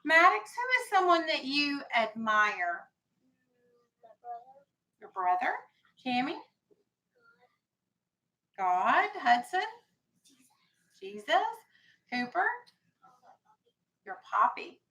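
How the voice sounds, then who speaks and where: American lady teacher, high bright voice, walking little kids through new words, asking and directing. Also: very animated, very slow, long pauses, poor audio. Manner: instructive, prompting pupils with questions and directives. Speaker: elementary school teacher. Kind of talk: classroom vocabulary lesson to young children. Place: classroom with young children.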